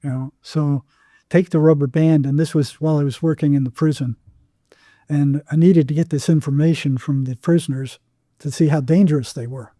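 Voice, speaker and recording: An older man telling his experiences conversationally as an interview guest, in a halting way with repeated words. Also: fairly high pitch, fairly even intonation, warm tone, dry recording. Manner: halting, repeats words, acts out remembered exchanges. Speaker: psychotherapist guest.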